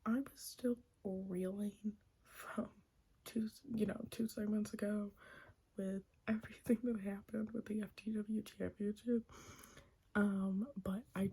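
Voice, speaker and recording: A woman's voice: mid-range voice, steady tone, measured pace, breathy edge, poor audio. A young woman whispering a chatty recap of a wrestling show, gushing like a fan and giggling often. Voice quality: soft whisper, frequent giggles.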